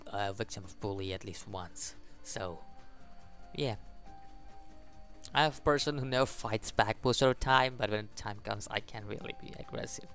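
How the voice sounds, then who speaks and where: A man hosting a gaming video, reading a visual novel aloud in a halting way, with casual commentary. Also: on the low side, gravelly, fairly bright tone. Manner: halting, stumbles over the text.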